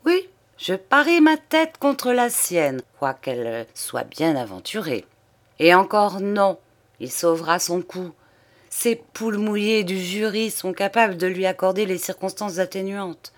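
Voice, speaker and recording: A woman reading an audiobook, voicing an excitable, talkative old man who is vain and emphatic. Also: fairly low pitch, normal speed, lightly acted. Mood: exalted, boastful. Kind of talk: audiobook character dialogue.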